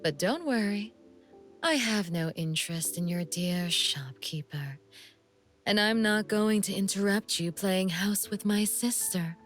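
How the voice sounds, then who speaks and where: A woman playing a sly, menacing young female assassin in a video game, speaking in a teasing, deliberate manner. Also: medium pitch, faint music behind, close to an everyday voice. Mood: mocking. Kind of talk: scripted game character monologue.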